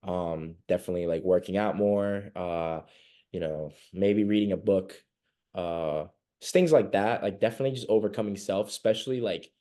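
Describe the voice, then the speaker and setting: A man's voice: low-pitched, steady tone, normal speed, warm tone. A young man from Venezuela, a podcast guest chatting casually and rambling with lots of fillers. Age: young adult. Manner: rambling, many fillers and laughs. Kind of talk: casual interview chat.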